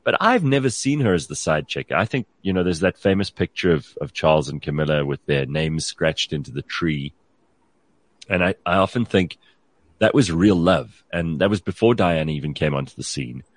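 A man's voice: sits low, animated, moderate pace, dry recording. A man hosting a talk show, giving blunt opinions and putting questions in a conversational way.

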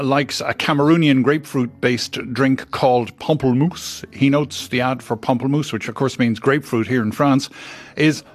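A male radio co-presenter with a mid-pitched voice reads out listeners' messages and banters lightly. Music plays faintly behind him.

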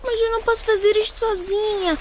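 High-pitched anxious schoolgirl. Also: steady tone, fairly quick, crowd noise. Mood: pleading. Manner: earnest, pleading. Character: anxious, pleading pregnant schoolgirl. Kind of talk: radio drama acting.

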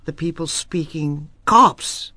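A woman's voice: low voice, takes it slowly, no echo. A South African woman, an Afrikaans writer, speaking firmly and emphatically as an interview guest. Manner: emphatic, lively, firm opinions. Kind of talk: radio interview.

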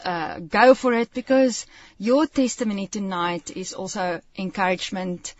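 A woman's voice: medium pitch, steady tone, moderate pace, muffled recording. A woman hosting a radio show, welcoming her guest and encouraging her to share her story. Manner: encouraging, affirming. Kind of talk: interviewing a guest. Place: radio studio.

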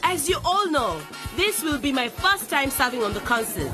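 An actress playing a determined nineteen-year-old councillor, giving an emphatic victory speech in a radio drama. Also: female voice, sits high, very animated, moderate pace. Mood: rallying, confident. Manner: emphatic, declamatory public speaking. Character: determined nineteen-year-old newly elected woman councillor addressing supporters. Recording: music and crowd behind voice. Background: music and cheering crowd.

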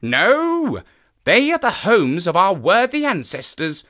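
An enthusiastic male naturalist guides a visitor across the moor, as a man acts him out in an audio drama. His voice leaps about wildly in pitch and carries a bright, forward edge. He explains with keen, knowing animation. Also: wildly swooping pitch, takes it slowly, full character voice. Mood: eager. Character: eager male naturalist of the moors, lively and knowing.

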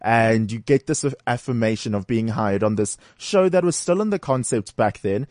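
A man's voice: medium pitch, lively intonation, normal speed, darkish tone. A man with a mid-range voice hosting a talk show, chatty and playful as he asks friendly questions. His voice sounds close and dry, with no room echo. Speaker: radio talk show host. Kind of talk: casual interview chat.

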